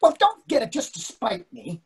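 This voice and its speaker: Dry husband in his sixties for a play reading: evasive, questioning, very lively intonation. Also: very high-pitched, normal speed, gravelly, full character voice. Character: dry, evasive older husband itching to escape. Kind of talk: readers theatre over video call. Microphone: video call.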